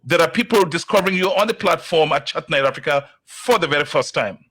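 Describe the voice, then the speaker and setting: A man hosting a talk show, opening with a slow, emphatic motivational monologue before interviewing his guest. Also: on the high side, lively intonation, a bit bright, husky. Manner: slow, deliberate, emphatic delivery.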